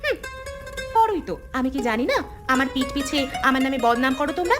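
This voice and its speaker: A woman's voice: sits high, very animated, fast, over music. A woman voicing a bickering sister-in-law in a village family cartoon, scolding and complaining. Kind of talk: cartoon family drama dialogue.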